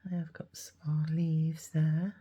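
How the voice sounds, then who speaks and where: A middle-aged woman with a croaky voice, half-whispering to herself while making a collage. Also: sits low, little pitch movement, measured pace, mellow. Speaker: craft video host. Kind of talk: soft-spoken crafting commentary.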